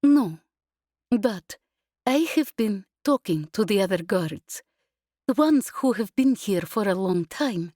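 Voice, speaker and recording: A woman reader puts on an earnest female security guard in an audiobook story. Her voice is pitched up, forthright and emphatic. The recording is dry. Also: animated, slow pace, dark tone, no echo.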